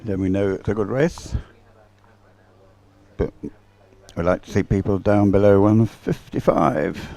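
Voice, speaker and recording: A man's voice: fairly low pitch, steady tone, takes it slowly, vocal fry, mellow. A man giving unscripted live sports commentary in a hesitant, rambling way, as if thinking aloud.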